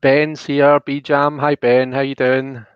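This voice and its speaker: A man's voice: medium pitch, little pitch movement, takes it slowly, smooth, no echo, dull audio. A man hosting a casual live stream in a chatty, informal, friendly way. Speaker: host.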